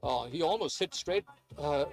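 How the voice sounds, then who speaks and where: A man's voice: fairly high pitch, lively intonation, normal speed, gravelly, faint music behind. A man who grew up in Sri Lanka, giving hesitant, chatty play-by-play of a live cricket match with many fillers. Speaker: cricket commentator.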